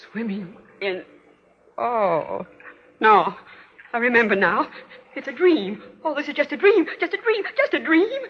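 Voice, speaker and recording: Haunted murderous woman, wildly swinging pitch. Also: exaggerated voice. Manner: melodramatic, halting fragments, from whispers to shrieks. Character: guilt-haunted murderous stepmother trapped in a nightmare, frantic. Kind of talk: old-time radio horror acting, interior monologue. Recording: dull, lo-fi old radio recording with noise.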